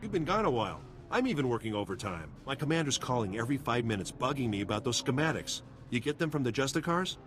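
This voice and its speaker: A man plays a weary, pragmatic game security officer. His mid-pitched voice swings widely as he grumbles briskly, with clear noise behind him. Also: sing-song, lightly acted. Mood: harried, grudging. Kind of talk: video game voice acting. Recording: background ambient noise.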